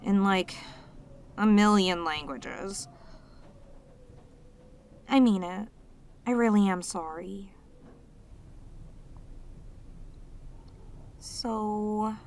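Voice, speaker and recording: A woman voicing a lonely, clingy, sweet female demon in an ASMR audio roleplay, with an earnest, halting, pleading manner. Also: medium pitch. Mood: apologetic, grateful.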